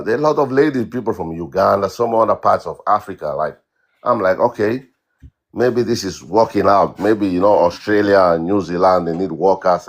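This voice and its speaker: A man from Cameroon, a guest on a livestream, telling his story in a halting way with repeated words and many fillers. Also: fairly low pitch. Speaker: guest caller. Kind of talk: casual account on a livestream.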